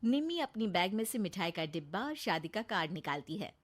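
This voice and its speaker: A woman's voice: medium pitch, very animated, brisk. A woman narrating a scripted moral story for a cartoon.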